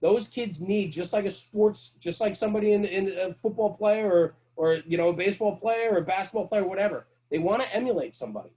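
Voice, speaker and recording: A man's voice: sits high, a little fast, few pauses, dark tone, rough recording. A middle-aged man speaking as a podcast guest, earnest and emphatic, repeating his key points as he talks casually about his work. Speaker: guest, firefighter and fire-service radio host.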